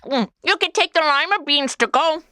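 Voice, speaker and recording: Woman playing a snarky modern young woman, bright high voice, swoops around a lot. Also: expressive, moderate pace, background noise. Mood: wry. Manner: sarcastic, quippy. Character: wisecracking modern young woman stuck in the old west. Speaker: title character. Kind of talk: comedy audio drama acting.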